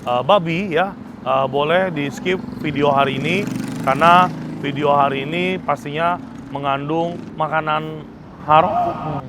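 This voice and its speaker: A man's voice: on the high side, measured pace, background noise. A man with a Jakarta Indonesian accent presenting a food vlog in a casual, slangy, chatty way, often tagging sentences with "ya".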